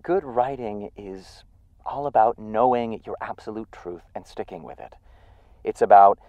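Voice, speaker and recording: A self-assured lecturer in an audio drama. The sound is thin and phone-like, very dry. His lowish voice is emphatic and declarative. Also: unhurried, dry recording. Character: self-assured man lecturing on good writing.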